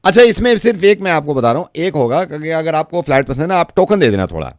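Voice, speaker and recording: A man's voice: on the high side, sing-song, quick pace, dry recording, studio quality. A man working as a radio prank caller, talking in an insistent, chatty way and cutting in on the other person. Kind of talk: prank phone call, improvised chat.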